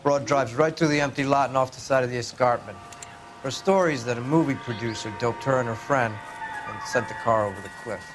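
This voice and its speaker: A man's voice: mid-range voice, a little fast, over music, close to an everyday voice. A man voicing a friendly, blunt 1940s traffic officer who briefs detectives on a crash.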